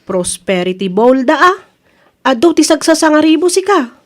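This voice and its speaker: An indignant wife with an Ilocano accent and a high voice, acted by a woman. She nags and presses about money owed to her, in comedy radio drama style. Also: sing-song, moderate pace. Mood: gossipy. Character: indignant nagging wife chasing an unpaid debt.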